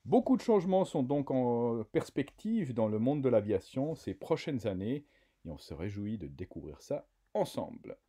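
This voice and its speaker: Man, news voice-over, animated intonation, mellow husky tone. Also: medium pitch, normal speed. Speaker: narrator.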